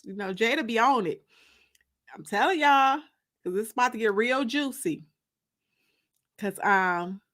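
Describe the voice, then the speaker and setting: A woman's voice: on the high side, fairly bright tone. An American woman hosting a casual livestream, chatting with viewers in a playful, teasing, colloquial way. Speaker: livestream host and author.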